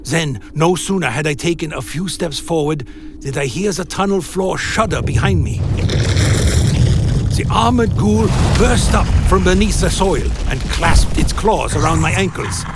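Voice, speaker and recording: A man playing an anxious vampire who recounts his own dark tale in a dramatic radio play. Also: a little high, measured pace, noisy background. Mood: tense, recounting. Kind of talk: first-person dramatic narration, radio play.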